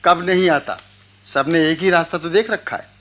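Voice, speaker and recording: A man's voice: fairly high pitch, moderate pace, a bit bright, muffled recording, a little hiss or hum, natural voice. A middle-aged actor playing a stern, condescending well-off father in a radio play, speaking in a curt manner. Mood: dismissive, weary. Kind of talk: Hindi radio play acting.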